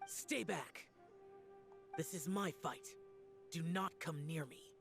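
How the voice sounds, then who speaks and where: An actor playing a stern, solitary young immortal warrior in a video game, speaking in a curt, grave, proud way. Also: male voice, fairly high pitch, takes it slowly, vocal fry, over music. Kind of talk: dramatic video game voice acting.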